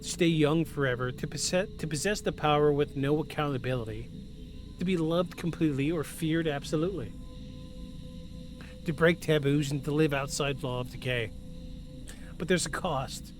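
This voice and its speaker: Male podcast host, fairly high voice, effusive, mixes scripted narration and casual asides. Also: normal speed. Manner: effusive, repeats himself for emphasis. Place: at home. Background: music.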